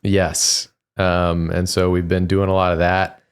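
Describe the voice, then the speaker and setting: Sleepy guy on a game stream, jokey and rambly, kinda low voice. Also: monotone, a little slow, clean tone. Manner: joking, rambling with restarts. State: tired. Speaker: co-host of a gaming stream. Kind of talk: casual stream chat.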